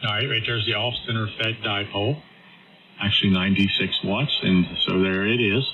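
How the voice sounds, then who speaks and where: A man's voice: fairly low pitch, fairly even intonation. A man chatting on the air as a ham radio operator, joking and showing off his antennas. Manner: quick, joking, demonstrating gear. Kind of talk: casual on-air chat. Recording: muffled radio signal with light hiss.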